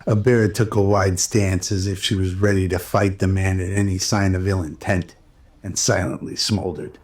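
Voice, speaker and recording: Male narrator, deep voice, little pitch movement, scripted radio drama storytelling. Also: fairly even intonation, moderate pace, a little mellow.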